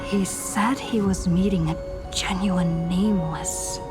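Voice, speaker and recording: A woman voicing a pensive, earnest heroine in a video game, thoughtful and measured. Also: fairly low pitch, fairly even intonation, loud music behind the voice.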